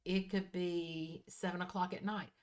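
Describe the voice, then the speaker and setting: Woman, early sixties, slightly low voice, casual vlog chat, folksy, candid, self-correcting. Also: little pitch movement, a little slow, darkish tone. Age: early 60s. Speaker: vlogger. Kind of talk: casual personal chat to viewers.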